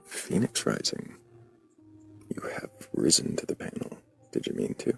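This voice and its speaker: A man hosting a livestream debate, speaking slowly in a dry, sardonic manner, often dropping to a near whisper. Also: a little mellow, soft background music. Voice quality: very low gravelly vocal fry. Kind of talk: livestream chat.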